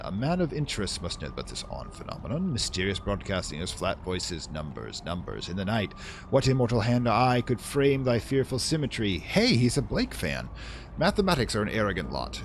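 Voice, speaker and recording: A man with a low, lively voice gives casual video game commentary. He is wry and digressive and turns theatrical when reading text aloud, with faint noise behind him. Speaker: let's play host.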